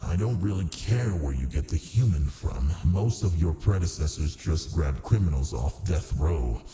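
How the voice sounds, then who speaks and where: A man playing a massive ancient male demon in an ASMR roleplay, smug and amused, with a smooth, politely menacing, domineering way of talking. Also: deep voice, expressive, normal speed, warm tone. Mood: matter-of-fact. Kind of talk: ASMR roleplay, close and unhurried.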